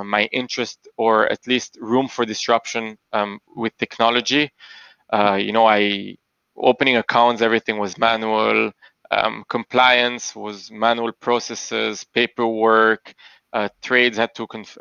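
Man from Belgium, gravelly, bright crisp voice, dull dry recording. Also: mid-range voice, dry recording. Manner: thoughtful, many fillers and self-corrections. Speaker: podcast guest, tech investor. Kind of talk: interview answers.

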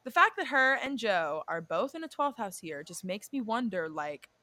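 Young woman, podcast co-host, bright voice, dry close sound, rambling casual chat. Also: medium pitch, big pitch swings, moderate pace, no room sound. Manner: chatty, swears freely, drifts off and self-corrects. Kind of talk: casual chat about a reality show and astrology.

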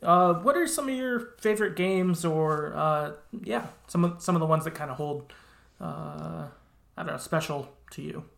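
Creaky-voiced man hosting in an echoey room. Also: on the high side, moderate pace, a bit bright. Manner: agreeable, easygoing, affirming. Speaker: podcast host. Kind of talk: casual chat. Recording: roomy, echoey sound.

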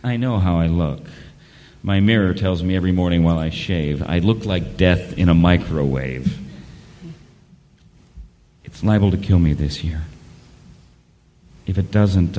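A man playing a haunted, ailing man who narrates his journal and speaks in an audio drama, halting and repeating his words. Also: low-pitched, warm tone, natural voice. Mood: weary, confessional. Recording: long hall reverb, music behind. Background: music.

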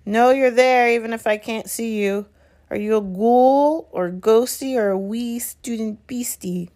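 A woman's voice: fairly high pitch, measured pace, a little mellow, pitched above the performer's own voice, close to an everyday voice. A woman voicing a mischievous male poltergeist from a storybook, sly and sing-song, oily in manner. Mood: sly, suspicious. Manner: taunting, sing-song, greasily obsequious. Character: mischievous poltergeist, sly wicked male, grovelling when fooled.

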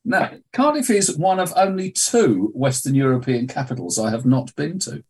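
A man's voice: mid-range voice, very animated, normal speed, a little mellow, husky. A man in his sixties co-hosting a casual chat, with dry, sarcastic humor. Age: 60s. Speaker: co-host.

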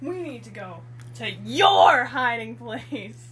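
A woman's voice: fairly high pitch, animated, slow pace, a bit bright, breathy edge. A woman doing a casual comedic dramatic reading with banter, playful, giggly and teasing. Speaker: co-host reading dialogue parts.

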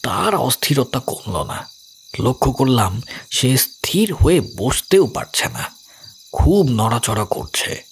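An actor playing a calm, rational older investigator, narrating an audio story in a measured, explanatory way. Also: male voice, medium pitch, a little hiss or hum. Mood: composed narrating. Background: music.

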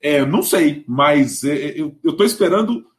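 A man with a Brazilian Portuguese accent hosting a casual football live stream, animated and often repeating words as he restarts. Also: a little high, measured pace. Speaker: host.

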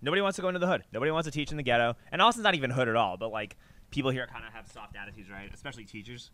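A man's voice: medium pitch, racing, bright, forceful tone, no echo. A man hosting a podcast, chatting casually in a rambling, emphatic, slangy way. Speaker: podcast host. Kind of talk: casual chat, conversational storytelling.

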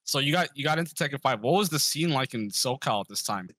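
Bright-voiced male podcast co-host. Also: mid-range voice, fast talker, dry recording, clean studio sound. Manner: relaxed, short reactions and questions. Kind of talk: casual chat.

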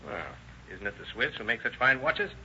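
Forceful, needling radio-serial police lieutenant. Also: male voice, medium pitch, a little fast. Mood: slyly casual. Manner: sardonic, slangy, pressing. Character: shrewd, needling, hard-driving male police lieutenant interrogator. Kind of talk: 1930s-1940s radio crime drama acting. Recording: dull, noisy old radio recording.

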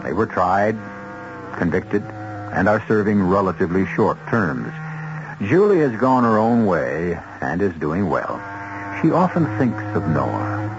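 A man hosting and narrating a scripted radio mystery, with a measured, wry, theatrical delivery. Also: sits low, sing-song, background noise. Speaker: host and narrator. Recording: muffled old radio recording with music.

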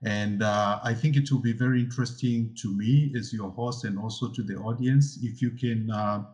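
A male host asking interview questions, slow and halting with frequent filler sounds. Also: on the low side, steady tone, a little mellow.

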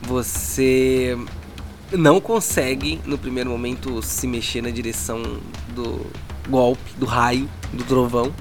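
A man with a Brazilian accent working as game master, narrating a tabletop role-playing story in a dramatic, deliberate way with pauses. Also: medium pitch, fairly even intonation. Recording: music bed behind the voice. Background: background music.

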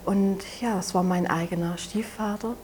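A woman in her twenties from Saxony with a Saxon German accent, speaking haltingly and softly as an interview guest, often restarting her sentences. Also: mid-range voice, fairly even intonation, a little hiss or hum. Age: 20s. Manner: halting, soft-spoken, with frequent restarts. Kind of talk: personal testimony in an interview.

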